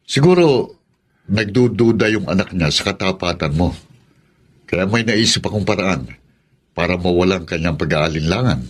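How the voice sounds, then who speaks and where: Radio drama: practical confidant friend, rough-edged deep voice, counselling. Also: male voice, expressive, normal speed. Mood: advising.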